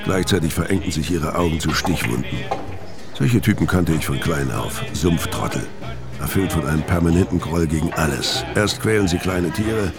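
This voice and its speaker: A man's voice: sits low, normal speed. An actor playing a world-weary, middle-aged hitman who narrates his crime story in a laconic, brooding way in a radio drama. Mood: brooding, bitter. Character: world-weary dying male syndicate killer, hard and laconic. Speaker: first-person narrator and lead actor. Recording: studio radio play with loud music underneath. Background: loud music.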